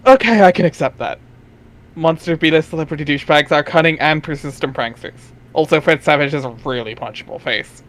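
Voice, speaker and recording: A man's voice: a little high, a little fast, a bit bright, close to an everyday voice. A man reading a cocky, flippant webcomic teenager in a casual amateur dramatic reading. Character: cocky, foul-mouthed deadpan cool teenage boy, webcomic character.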